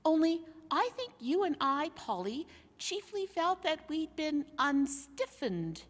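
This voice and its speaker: A woman's voice: high-pitched, sing-song, takes it slowly, voice pushed up, full character voice. A woman voicing a genial, reflective bearded lord in a storybook reading. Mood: genial reflection. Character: Lord Diggory, golden-bearded, once-old lord, genial. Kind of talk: storybook character dialogue. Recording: hall-like long reverb.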